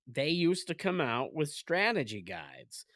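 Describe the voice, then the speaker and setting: A man's voice: a little high, huge pitch swings, unhurried, a bit bright, no echo, studio quality. A man co-hosting a comedy podcast, rambling casually with lots of fillers and frequent laughter. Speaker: podcast co-host.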